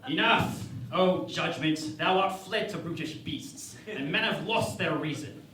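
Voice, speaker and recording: An actor playing a pompous, lecherous starship captain in a parody radio play, with a theatrical, grandiose delivery. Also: male voice, fairly high pitch, expressive, unhurried, pushed and bright, far from the mic. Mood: outraged, theatrical. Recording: echoey room, off-mic, background noise.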